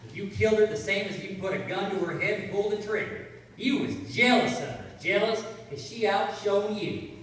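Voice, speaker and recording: Radio crime play: actor as stubborn circus snitch, high-voiced, slangy, far from the mic in a reverberant hall. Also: male voice, a little fast, close to an everyday voice. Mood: accusing. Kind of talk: old-time radio crime drama acting. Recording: echoey hall, far from mic, faint noise.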